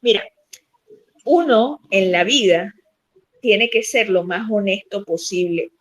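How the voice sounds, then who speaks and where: A woman's voice: medium pitch, takes it slowly. A woman from Portugal, a journalist, speaking with insistent emphasis in long runs on a podcast. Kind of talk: opinionated podcast chat.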